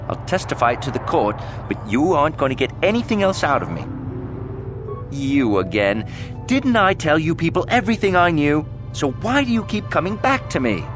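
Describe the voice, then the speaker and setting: A man's voice: mid-range voice, natural voice. A man playing a grudging, sarcastic witness in a space opera video game, speaking emphatically. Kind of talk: video game character dialogue.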